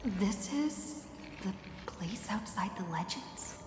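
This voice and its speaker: Very slow, hesitant, lost woman. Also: medium pitch, lively intonation. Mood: uneasy. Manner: hesitant, subdued. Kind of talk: dramatic video game voice acting. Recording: echoing hall reverb under music.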